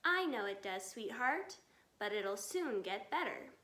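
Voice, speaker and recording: A woman's voice: medium pitch, very animated, unhurried, sharp tone, reverberant. A woman voicing a tender, anxious mother fox in a storybook, with an emotional delivery. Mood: tender, soothing.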